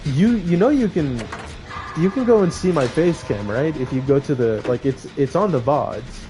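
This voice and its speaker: A man's voice: fairly high pitch, lively intonation, moderate pace, noisy background. A man livestreaming a video game, chatting casually in informal slang and reacting aloud as he plays. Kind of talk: casual gaming stream chat with live reactions. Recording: music playing behind the voice. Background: music.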